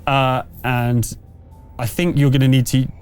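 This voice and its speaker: A man acts as game master, narrating a tabletop game without a script. His mid-pitched voice moves haltingly through rules and description. Music plays faintly behind him. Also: flat delivery, darkish tone, smooth.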